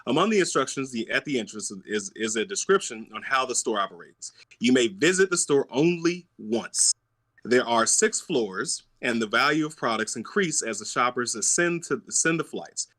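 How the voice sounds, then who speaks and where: Bright-voiced man hosting a podcast. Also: medium pitch, moderate pace. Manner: animated storytelling, profane asides. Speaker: podcast host. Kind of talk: casual chat and reading aloud.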